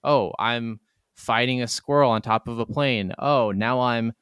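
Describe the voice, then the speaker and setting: Clear-voiced man chatting casually, dry close sound. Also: mid-range voice, a little slow, fairly bright tone, no room sound. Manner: rambling, peppered with "like". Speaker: gaming podcast co-host. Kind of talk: casual chat about video games.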